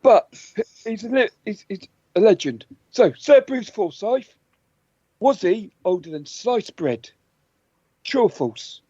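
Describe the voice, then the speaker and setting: A man's voice: high voice, expressive, takes it slowly, dark tone, husky, no room sound, lo-fi sound. A man co-hosting a comedy podcast, reading out quiz questions playfully and with stumbles. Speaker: podcast co-host and quizmaster.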